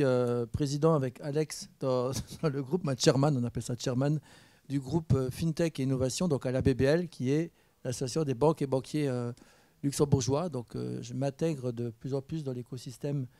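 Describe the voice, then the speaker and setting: A man from France speaking on a conference panel, relaxed and joking, with many fillers. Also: medium pitch, no room sound. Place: university conference room. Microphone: handheld mic.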